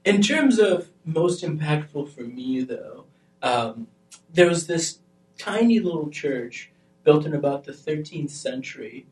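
Brief: young man from Albania, chatty panelist, slightly high voice, heard from a distance in an echoey room. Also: unhurried. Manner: animated, grows excited when telling stories. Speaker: podcast panelist. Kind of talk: casual chat. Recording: roomy, off-mic sound.

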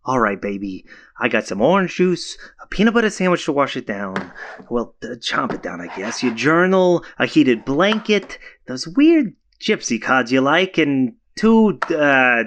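A young, flailing boyfriend in a naturalistic audio drama. His mid-pitched voice swings up and down freely as he stumbles and repeats himself, casual and eager to please. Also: very animated, unhurried, a bit bright, a little hiss or hum. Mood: attentive, casual. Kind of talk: naturalistic found-footage audio drama acting.

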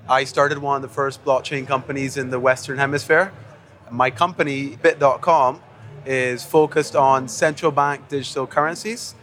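A man from Barbados, a guest on a live panel, introducing himself in a relaxed, joking manner. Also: a little high.

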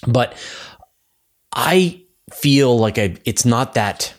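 A man's voice: medium pitch, animated, drawn-out words. A man hosting a podcast in a casual, conversational style, with emphatic repetition and asides. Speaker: podcast host.

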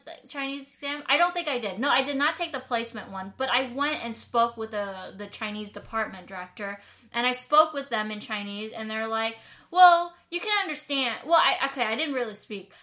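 A woman's voice: a little high, pushed and bright. A young woman from the United States hosting a casual explainer vlog, chatty and rambling with many restarts. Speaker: host.

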